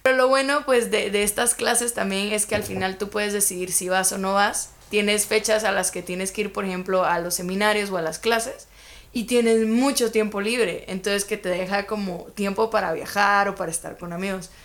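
A young woman with a Guatemalan Spanish accent talks in a relaxed, chatty way. She tells stories with lively quoted asides, like a guest on a casual podcast. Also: medium pitch, normal speed. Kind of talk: casual chat.

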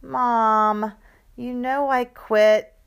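A woman's voice: fairly high pitch, fairly even intonation, drawn-out words, vocal fry, smooth, pitched above the performer's own voice, full character voice. A woman voicing a teenage sister with a fake sweet, whiny way of asking.

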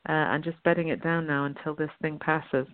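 A woman's voice: low-pitched, flat delivery, brisk, no room sound. A woman with a British accent, a guest phoning in to a radio show, chatting upbeat and thinking aloud. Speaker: guest, venue owner. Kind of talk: phone-in radio interview. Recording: tinny phone line.

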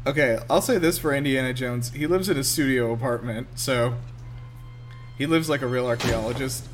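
A man's voice: medium pitch, normal speed. Male podcast co-host, average register, informal film commentary with music underneath. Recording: podcast with film soundtrack behind. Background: film audio and music.

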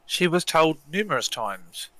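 A woman, co-hosting a podcast in casual chat, playful, quick to laugh and happy to swear. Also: low-pitched, very animated, fairly bright tone, dry recording. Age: 40s. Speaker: podcast co-host.

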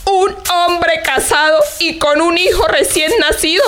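An actress playing a blunt, indignant old maidservant in a radio drama, reproachful and emphatic. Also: female voice, extremely high pitch, fairly even intonation, a little slow, fairly bright tone, a little hiss or hum. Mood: scornful. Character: old maidservant, blunt, scolding, wounded confidante.

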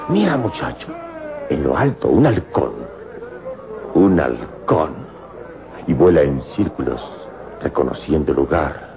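An actor playing a calm, commanding adventure hero and mentor in a radio serial, solemn and dramatic in delivery. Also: male voice, extremely low pitch, unhurried, pauses often, exaggerated voice. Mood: intent. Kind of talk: old radio serial acting. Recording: dull old radio recording with music.

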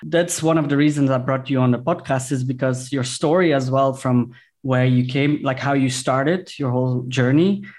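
Halting, admiring male podcast host. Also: mid-range voice, a little mellow. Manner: halting, many fillers, admiring. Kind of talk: conversational interview over video call. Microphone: video call.

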